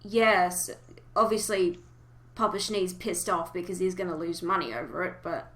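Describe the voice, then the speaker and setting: A woman hosting a casual fan discussion, talking emotively with hesitant pauses as she thinks aloud. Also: fairly low pitch, fairly bright tone. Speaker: podcast host.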